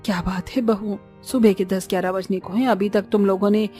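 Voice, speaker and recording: A woman plays a poor village mother-in-law in a cartoon, fretful and admonishing. Her voice is mellow and plaintive, with faint music behind. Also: mid-range voice, level tone, brisk, close to an everyday voice. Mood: scolding, worried.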